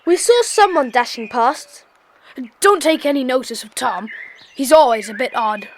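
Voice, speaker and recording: Very high, excitable schoolchild. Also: female voice, moderate pace. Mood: offhand. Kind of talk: children's audio drama acting. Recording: faintly hissy old cassette.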